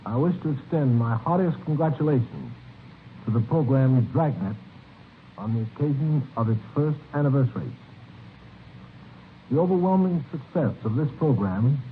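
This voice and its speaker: A tinny old radio recording with faint noise carries an American man. He is a police chief giving a formal, stiffly read address at a medium pitch.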